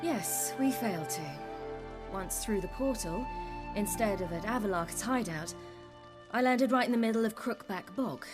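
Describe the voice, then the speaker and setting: An actress playing a guarded young woman recounting her flight to a companion in a video game, measured and matter-of-fact, with music under her voice. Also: medium pitch, natural voice. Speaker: game character. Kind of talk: recounting a story in dialogue. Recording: game audio over music.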